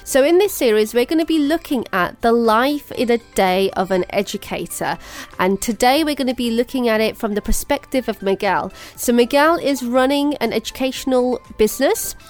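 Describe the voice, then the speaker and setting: A woman's voice: on the high side, animated, moderate pace, soft background music. Upbeat woman hosting a podcast. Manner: upbeat, promotional. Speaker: podcast host. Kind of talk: scripted podcast intro then interview.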